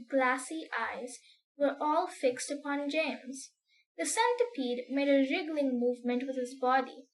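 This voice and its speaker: A woman reading a children's story aloud with expressive, deliberate, theatrical phrasing. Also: high-pitched, rough recording.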